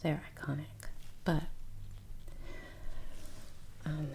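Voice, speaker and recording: A woman speaking in a soft, breathy near-whisper as the host of a relaxing ASMR painting channel. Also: low voice, level tone, extremely slow, a little hiss or hum. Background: faint ambience, brush sounds.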